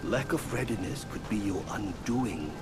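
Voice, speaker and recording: A man voicing a self-assured, boastful mystical mentor in a video game, speaking in a measured and grandiose manner. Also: on the low side, lively intonation, over loud music, close to an everyday voice. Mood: proud, instructive. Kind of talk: video game cutscene acting.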